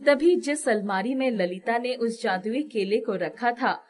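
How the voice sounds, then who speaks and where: A woman's voice: on the high side, measured pace, a little mellow. A woman narrating a scripted moral story for children as the storyteller.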